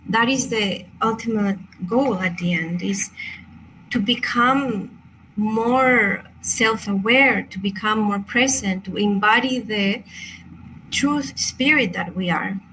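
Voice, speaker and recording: A woman's voice: medium pitch, bright, forceful tone, slight background noise, low-quality recording. A woman from Chile, a native Spanish speaker with a Chilean accent, presenting an online crystal workshop in a hesitant, apologetic way with many fillers and restarts.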